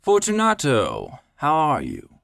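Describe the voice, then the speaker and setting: A man's voice: fairly high pitch, wildly swooping pitch, slow pace, creaky, a bit bright, dry recording, studio quality, voice pushed up, exaggerated voice. A man voicing a falsely friendly, scheming nobleman in a storybook reading, coaxing and politely solicitous. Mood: feigned warmth.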